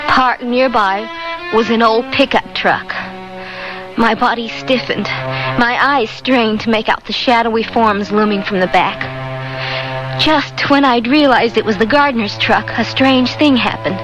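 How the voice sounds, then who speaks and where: A haunted young wife, played by an actress, speaks in a high, fearful voice with prominent music behind her. Her delivery is hesitant and melodramatic, in the manner of a radio thriller. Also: a little slow, natural voice, rough recording. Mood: dread, narrating. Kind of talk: radio drama acting and narration.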